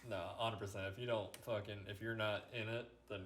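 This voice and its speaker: A man's voice: fairly low pitch, fairly even intonation, a little fast, a bit bright, slight background noise. A young man from Pennsylvania co-hosting a podcast, chatting casually and laid-back, swearing often. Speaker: podcast co-host. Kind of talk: casual chat.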